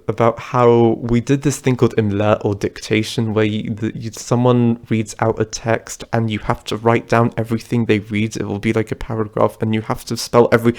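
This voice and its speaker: A man's voice: mid-range voice, darkish tone. A man, telling personal stories to camera in a rambling, chatty way. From Britain. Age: 20s. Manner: rambling, chatty, loose and conversational. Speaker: vlogger. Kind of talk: casual personal storytelling to camera.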